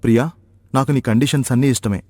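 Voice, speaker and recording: A man's voice: mid-range voice, steady tone, quick pace, mellow, no room sound, studio quality, lightly acted. A man playing an earnest young husband and protective brother in a story, questioning and emphatic. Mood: polite, agreeable.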